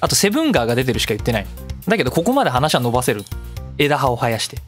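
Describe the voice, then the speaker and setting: A man's voice: mid-range voice, very animated, very fast, soft background music. A man hosting a casual solo radio talk, chatty and digressive, explaining at length with self-mocking asides. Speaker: radio show host.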